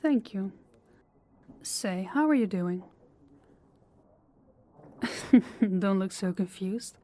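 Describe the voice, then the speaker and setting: A woman playing a composed, stern female crime boss in an audio drama, speaking deliberately and with authority. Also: mid-range voice, animated, warm tone. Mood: smooth, friendly. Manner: authoritative, deliberate, clipped orders. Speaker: voice actor. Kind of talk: audio roleplay drama acting.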